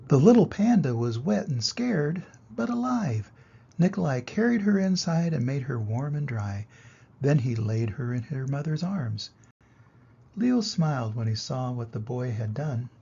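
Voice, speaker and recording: An older man reading a children's story aloud as a grandfather, with expressive, deliberate narration and dramatic emphasis. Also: medium pitch, gravelly, breathy edge, highs cut off.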